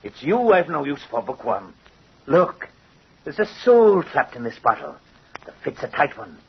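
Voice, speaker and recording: An actor playing a vengeful, gloating doll-maker in old-time radio horror, with a theatrical, menacing and mocking manner. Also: male voice, a little high, sing-song, a little slow, heavily acted. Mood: menacing, exultant. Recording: husky, muffled old radio recording.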